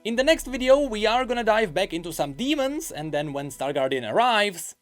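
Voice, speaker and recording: A man's voice: high voice, big pitch swings, moderate pace. A man hosting a gaming news show, reading scripted narration in an emphatic way with stretched, swooping stresses. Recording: music playing behind the voice. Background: background music.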